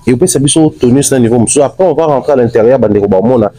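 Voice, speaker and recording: A man from Congo speaks emphatically, slipping Lingala words into his French. His voice is warm and mellow, of middle pitch. The recording is dry and close. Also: rapid-fire, dry recording, poor audio. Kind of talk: street interview.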